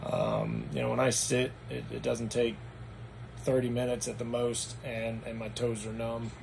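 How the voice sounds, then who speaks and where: Hoarse, gravelly-voiced man with background noise. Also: mid-range voice, lively intonation, a little slow. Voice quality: raspy. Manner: meandering, matter-of-fact recounting. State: hoarse after anesthesia. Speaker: vlogger. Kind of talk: casual personal vlog recap.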